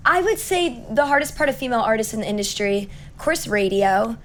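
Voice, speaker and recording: A young woman with a raspy voice, a singer-songwriter chatting upbeat as an interview guest, full of likes and ums. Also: fairly high pitch, animated, brisk, a bit bright, a little hiss or hum. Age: young adult. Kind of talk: casual interview chat.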